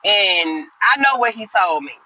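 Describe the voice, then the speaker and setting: A woman's voice: medium pitch, very animated, unhurried, bright, forceful tone. Lady in her 50s ranting on a livestream, piercing tense voice, dull lo-fi sound. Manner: rambling and repetitive, talking straight to viewers. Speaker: livestream host. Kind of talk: casual live chat, answering viewer comments.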